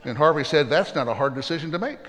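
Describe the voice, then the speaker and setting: A pastor preaching in a high voice, earnest and plainspoken, with folksy humorous stories. His voice rings with a long reverb, as if in a church sanctuary. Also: lively intonation, fast, fairly bright tone. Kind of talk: sermon.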